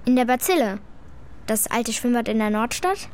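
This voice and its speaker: Woman as blunt, high-voiced schoolgirl. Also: lively intonation, moderate pace, smooth, lightly acted. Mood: matter-of-fact. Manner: colloquial, matter-of-fact, defiant. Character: blunt, cheeky schoolgirl telling her story reluctantly. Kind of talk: children's radio play acting.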